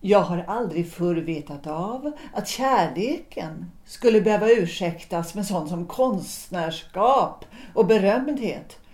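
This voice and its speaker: Woman acting restrained wife of 1906, dark soft-edged tone, dry irony, measured delivery, roomy period radio play. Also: fairly low pitch, expressive, close to an everyday voice. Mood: quietly ironic. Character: composed, quietly ironic wife, former opera singer, 1906. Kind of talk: period stage-play audio drama acting. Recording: roomy echo.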